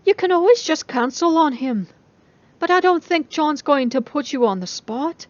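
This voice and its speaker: A woman voicing a caring, brightly cheerful young female student in a dramatic story reading, exclamatory and encouraging. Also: sits high, lively intonation, normal speed, no room sound, muffled recording, pitched above the performer's own voice. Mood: gentle, reassuring.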